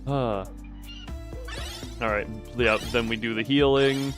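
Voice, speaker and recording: A man livestreaming a game with casual, excitable commentary, rambling and thinking aloud to himself. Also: mid-range voice, takes it slowly. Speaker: game streamer. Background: music.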